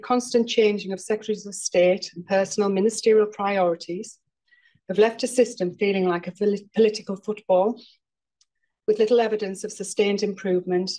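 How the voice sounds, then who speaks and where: Hoarse middle-aged woman, plain-spoken lecturer at a podium, firm and critical. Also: mid-range voice, a little mellow, rough recording. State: hoarse from a cold. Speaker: lecturer, prison governors' association president. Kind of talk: prepared formal lecture. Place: at a podium before a live audience.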